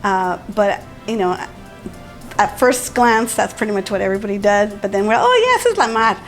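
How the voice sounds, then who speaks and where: A woman's voice: mid-range voice, expressive, normal speed, low-quality recording. A woman tells neighborhood stories in a chatty way, mixing in a few Spanish phrases and putting on quoted voices. Faint music runs beneath her. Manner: chatty storyteller, slips into Spanish. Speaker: interviewee, childhood neighbor. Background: soft music.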